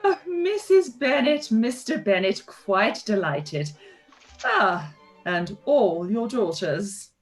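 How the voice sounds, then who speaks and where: A woman's voice: medium pitch, big pitch swings, faint music behind. A woman as a gushing, swooping society hostess. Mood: effusive. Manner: effusive, drawn-out politeness. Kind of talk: period radio play live read.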